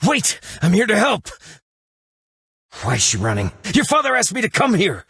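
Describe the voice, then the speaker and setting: An actor playing a brooding detective who narrates a dark mystery game story in a grave, measured, dramatic manner. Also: male voice, rumbling low, creaky, husky, dry recording, studio quality, full character voice. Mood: urgent, alarmed.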